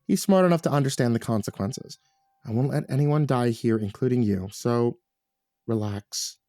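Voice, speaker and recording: A man's voice: mid-range voice, moderate pace, warm tone, no echo, close to an everyday voice. A man plays a tough, brash woman in an audiobook story. She barks and teases with sardonic scorn and a foul mouth. The sound is dry and close. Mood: sardonic, reassuring. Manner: barking, sardonic. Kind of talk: audiobook character voice.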